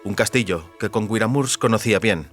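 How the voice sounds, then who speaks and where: A man's voice: fairly low pitch, brisk, a bit bright, faint music behind. A man reading scripted narration for a fantasy audiobook.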